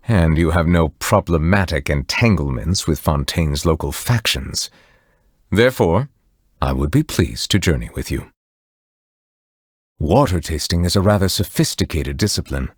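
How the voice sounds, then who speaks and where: A deep-voiced, courteous otherworldly judge from a video game, with a husky edge. He speaks formally and with reserve, choosing each word with care, yet his intonation moves widely. The sound is close and dry. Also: sing-song, dry recording, exaggerated voice. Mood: earnest, measured. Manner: formal, measured, eloquent, reserved. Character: solemn, refined male judge, courteous otherworldly being. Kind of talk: scripted game character voice lines.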